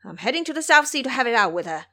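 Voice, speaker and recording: A woman's voice: on the high side, sing-song, very fast, sharp tone, no echo, studio quality, voice pushed up, full character voice. A woman voicing a rebellious, hot-tempered male monkey disciple in an audio storybook drama, exclaiming and pleading. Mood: enraged.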